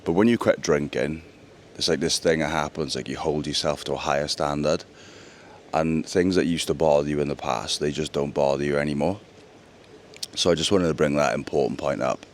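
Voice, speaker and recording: Deep-voiced man vlogging. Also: steady tone, fairly quick, slight background noise. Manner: chatty, earnest, talking straight to camera. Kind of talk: casual vlog monologue. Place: indoors, then outdoors by a pool.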